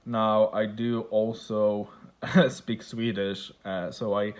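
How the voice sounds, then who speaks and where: Slow, hesitant man. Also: on the low side, little pitch movement. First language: Polish. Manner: hesitant, many fillers and restarts. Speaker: book collector vlogger. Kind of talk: casual show-and-tell.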